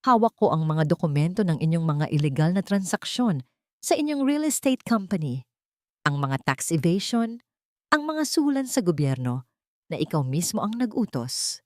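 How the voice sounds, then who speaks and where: A woman's voice: fairly low pitch, very animated, moderate pace, a little mellow, no room sound, clean studio sound. A woman voicing a wealthy young father who speaks coldly and firmly to protect his family. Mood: threatening. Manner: cold, firm, threatening.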